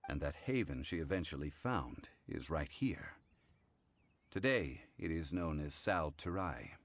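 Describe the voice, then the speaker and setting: An actor playing a calm, learned gentleman in a video game, speaking formally and measuredly as he recounts old lore. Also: very deep voice, a little slow. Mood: composed, solemn. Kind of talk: English video game voice acting.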